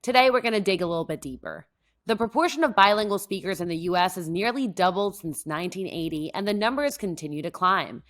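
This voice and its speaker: A young woman co-hosting a casual podcast, her voice a little lower than most women's. She is upbeat and conversational, and she reads out facts briskly. She grew up in Mexico. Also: expressive. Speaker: podcast co-host. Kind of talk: casual podcast chat with scripted intro.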